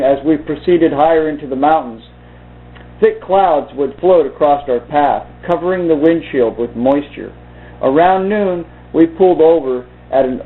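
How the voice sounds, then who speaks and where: A man reading aloud and commenting informally in a halting, deliberate way. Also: a little high. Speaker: video host reading from a book. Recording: muffled phone-like narrow-band sound.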